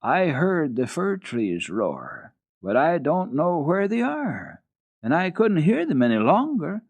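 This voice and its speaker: An innocent little storybook girl, as a male reader puts her on, with a lively, raised pitch. She sounds earnest and naive, always curious and questioning. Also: slow, no room sound, exaggerated voice. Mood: wistful. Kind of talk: dramatic children's story reading.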